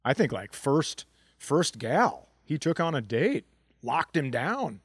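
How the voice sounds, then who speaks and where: A man's voice: a little high, very animated, takes it slowly, slightly rough, dry recording, clean studio sound. A man co-hosting a comedy podcast in casual banter, wry and given to profane riffing.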